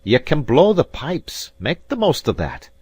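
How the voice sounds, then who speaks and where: A man's voice: medium pitch, sing-song, moderate pace, no echo, pitched above the performer's own voice. A man doing a proud piper. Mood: angry. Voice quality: broad dialect, formal and proud. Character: proud Highland piper man, ornate and prickly.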